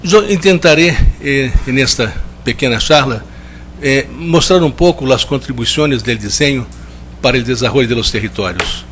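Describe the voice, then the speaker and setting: A man from Brazil speaking with a strong Brazilian Portuguese accent, giving a measured, reflective conference talk and often restarting as he searches for words. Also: mid-range voice, fairly bright tone, a little hiss or hum. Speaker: guest lecturer. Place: lecture hall.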